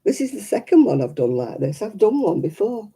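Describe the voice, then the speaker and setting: A British woman speaks in a very dark, muffled-warm tone with a husky, croaky edge, hoarse from being unwell. She chats informally as a livestreamer would, with dry, teasing humour aimed at her viewers. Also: medium pitch, very animated, a little fast. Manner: wry, teasing. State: hoarse after illness. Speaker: livestreaming crafts vlogger. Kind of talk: casual chat to live viewers.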